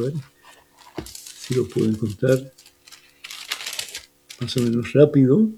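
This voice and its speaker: A priest with a gravelly, slightly husky voice, answering radio questions. He explains scripture earnestly and quotes verses with emphasis. His tone is dark and mellow. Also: medium pitch. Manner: earnest preaching, quotes verses, asks listeners if they heard.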